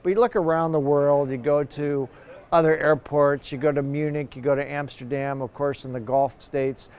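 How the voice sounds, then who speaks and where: A man gives unscripted expert commentary in a news interview, explaining things in a conversational way. His voice is fairly high for a man, smooth and clear. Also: steady tone, moderate pace, darkish tone. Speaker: professor and expert guest commentator.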